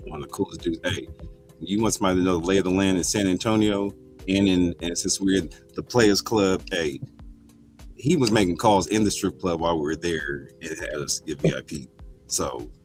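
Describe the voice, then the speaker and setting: A man with a low, easygoing voice hosts a relaxed group chat. He rambles through stories and acts out the quotes in a raised voice. Faint music plays behind him. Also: normal speed. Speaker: podcast host.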